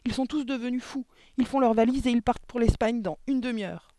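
A man voicing a bright, excitable little girl from a storybook, eager and insistent in speech. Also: fairly high pitch, fairly quick, dry recording, close to an everyday voice. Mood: panicked. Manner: exclaiming, urgent questioning.

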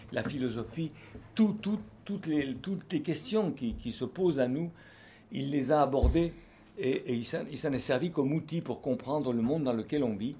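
A man's voice: mid-range voice, darkish tone, slight background noise. Hesitant older man musing aloud. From France. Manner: hesitant, many fillers and restarts. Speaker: activist speaker. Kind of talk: unscripted spoken reflection. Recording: faint background ambience.

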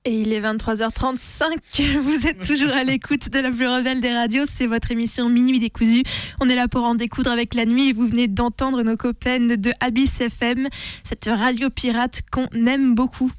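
Female late-night radio host, slightly high-pitched, playful and joking, live studio talk. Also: steady tone, normal speed, smooth.

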